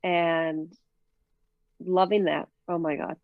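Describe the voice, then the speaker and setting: A woman's voice: fairly low pitch, steady tone, slow pace, dry recording, narrow, tinny audio. Chatty woman with a Minnesota accent. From Minnesota, USA. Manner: chatty, many fillers, laughs easily. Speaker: professional disc golfer, guest. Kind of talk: casual interview chat.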